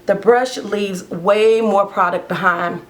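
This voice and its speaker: A woman's voice: mid-range voice, fairly even intonation, slow. A woman who has partly lost her voice, chatting casually as she does her makeup for a get-ready-with-me vlog. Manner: chatty, rambling, talks while doing makeup. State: hoarse, losing her voice. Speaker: beauty vlogger.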